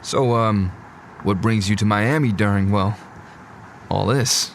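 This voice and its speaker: A man's voice: lowish voice, takes it slowly, a little hiss or hum. A man playing a friendly, chatty middle-aged cab driver in an audio drama, talking in an easygoing, casual way. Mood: curious, chatty.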